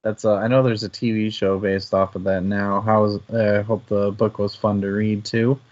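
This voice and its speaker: A low-pitched male book show host chats casually in a flat, even tone. He feels his way through sentences, thinking aloud. The audio is slightly dull and lo-fi. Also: normal speed. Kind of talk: casual book discussion chat. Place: live online stream.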